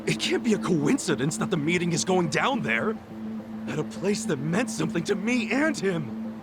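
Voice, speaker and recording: An earnest, hot-headed young ex-con. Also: male voice, high voice, very animated, moderate pace, very noisy. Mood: excited. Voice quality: impulsive, earnest. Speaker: ex-convict yakuza. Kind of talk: video game cutscene dub. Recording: game cutscene with loud music.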